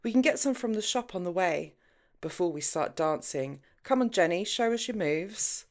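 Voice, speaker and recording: A woman's voice: fairly low pitch, expressive, normal speed, pitched above the performer's own voice, lightly acted. Audiobook role: bold, mischievous, free-spirited woman, performed by a female reader, dramatic and insistent.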